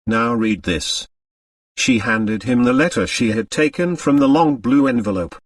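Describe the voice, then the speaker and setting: Male audiobook narrator: expressive scripted storytelling, very varied intonation, dry sound slightly off the mic. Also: mid-range voice, normal speed, no echo, recorded from a distance.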